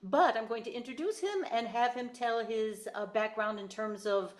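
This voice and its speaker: Woman, podcast host, voice teacher, chatty, conversational, slightly high voice. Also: moderate pace. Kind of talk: podcast interview.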